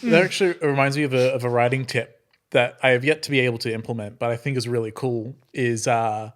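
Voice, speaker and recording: A man's voice: medium pitch, steady tone, fast talker. Rambling man chatting with friends. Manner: rambling, self-interrupting, laughs often. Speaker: tabletop game player. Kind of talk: casual group chat.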